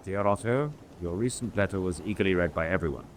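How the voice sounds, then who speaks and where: Low-voiced commanding naval officer played by a man. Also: a little hiss or hum, lightly acted. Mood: businesslike. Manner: formal, commanding, measured. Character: authoritative, evasive male senior German naval officer. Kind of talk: radio drama acting.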